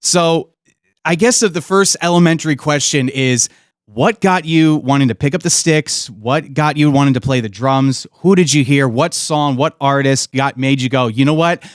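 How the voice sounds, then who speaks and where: A man's voice: medium pitch, moderate pace, no echo, clean studio sound. A man in his thirties hosting a podcast, upbeat and jokey, asking long questions. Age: 30s. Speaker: podcast host.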